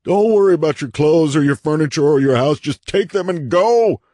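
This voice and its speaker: Hoarse, terrified, half-mad man. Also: mid-range voice, a little slow, darkish tone, no echo, pitched above the performer's own voice. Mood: anguished half shout. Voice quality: hoarse and strained. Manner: pleading, urgent, trembling.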